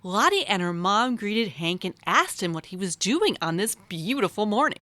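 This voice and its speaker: Lady reading a kids story, super expressive, slow, really dry sound. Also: medium pitch, no echo. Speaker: children's storyteller. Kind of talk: scripted storybook narration for children.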